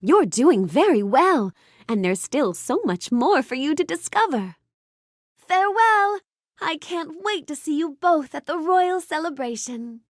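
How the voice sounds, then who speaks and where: A woman's voice: high-pitched, animated, unhurried, dry recording, clean studio sound. A woman voicing a gracious, bookish young storybook heroine in a video game, speaking politely and expressively with careful enunciation. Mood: warm welcome. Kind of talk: scripted video game character lines.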